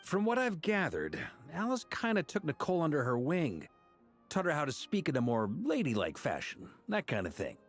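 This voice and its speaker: A man's voice: a little high, huge pitch swings, normal speed, soft background music. A man voicing a genial, self-assured knight in a video game, talking casually and with a bantering manner. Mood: relaxed. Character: easygoing, genial grown male knight, joking and self-assured.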